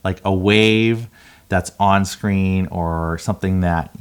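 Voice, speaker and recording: A man's voice: low voice, fairly even intonation, takes it slowly, clear, resonant tone. A man talking informally and conversationally as a video creator, explaining things in a casual vlog style.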